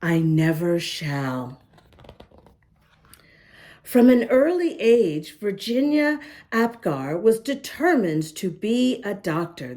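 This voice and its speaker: A woman reading a picture book aloud to young children, gently pausing to talk to them and show the pages. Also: medium pitch. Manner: gentle, slow, talks to young listeners. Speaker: children's storybook reader. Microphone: camera close up, showing the book.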